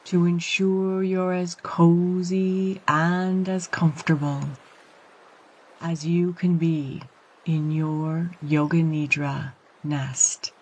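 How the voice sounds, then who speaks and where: Woman, meditation guide, slow delivery, calm, gentle, soothing, measured phrases, long pauses. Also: lowish voice, lo-fi sound. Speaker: yoga nidra meditation guide.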